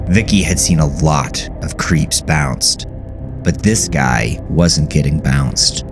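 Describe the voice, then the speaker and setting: Very deep-voiced man narrating dark fiction. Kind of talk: scripted horror fiction narration. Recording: music behind the voice. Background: music.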